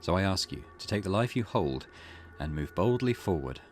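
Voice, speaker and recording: A man voicing a grave, mysterious storyteller who speaks in measured visual novel dialogue. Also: sits low, animated, music in the background. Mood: solemn. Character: solemn, mysterious storyteller addressing faraway watchers.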